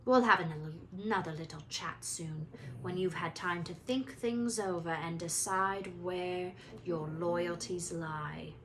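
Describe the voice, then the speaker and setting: Storybook villain: woman playing icy male teacher, lowered voice, deliberate and quietly threatening, slight background noise. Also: fairly even intonation. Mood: menacing.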